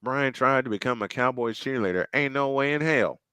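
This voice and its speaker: A man calling a football game play by play, getting excitable and jumping up on big plays, and trading banter with co-hosts. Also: medium pitch, fairly quick, clear, resonant tone, no room sound. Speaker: play-by-play commentator. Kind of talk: live game commentary with banter.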